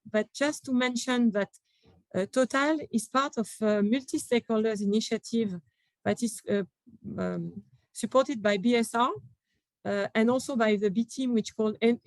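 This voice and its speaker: A woman's voice: on the high side, a little mellow, no echo, poor audio. A woman from France with a French accent, speaking on a conference panel with many fillers and restarts.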